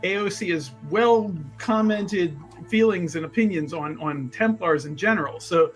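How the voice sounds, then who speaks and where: High-voiced man, wordy, at a game table. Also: moderate pace, soft background music. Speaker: tabletop roleplaying player. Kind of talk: casual game-table chat.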